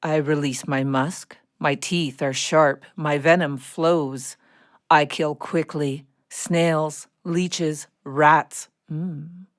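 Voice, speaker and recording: Audio play snake: predatory, deliberate, menacing, unhurried delivery. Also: medium pitch, steady tone. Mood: cold, predatory. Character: predatory snake watching over its human.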